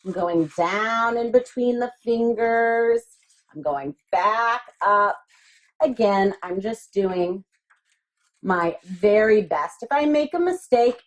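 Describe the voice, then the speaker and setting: Female primary teacher, very lively intonation, guiding little kids through a craft in simple, encouraging, repetitive language. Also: mid-range voice. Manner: encouraging, simple words, repeats instructions. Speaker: elementary school teacher. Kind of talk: step-by-step craft instruction for young children.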